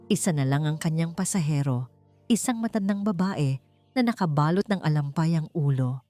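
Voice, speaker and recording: A woman with a Filipino accent narrating a story in a measured, dramatic, suspenseful audiobook style. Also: deep voice, lively intonation, mellow, soft background music.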